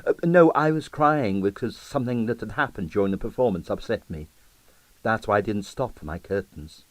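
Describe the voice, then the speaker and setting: A man's voice: on the low side, expressive, moderate pace, dry recording, natural voice. Wry, candid young ballerina from a detective audiobook, read by a male narrator, confiding delivery, dry sound. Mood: confiding, upset.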